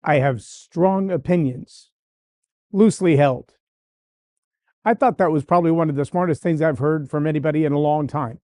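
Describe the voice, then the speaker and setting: A man's voice: on the high side, normal speed, a little mellow, dry recording, studio quality. A man hosting a leadership podcast, talking in an emphatic, list-building way. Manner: emphatic, repeats phrases in building lists. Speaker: podcast host.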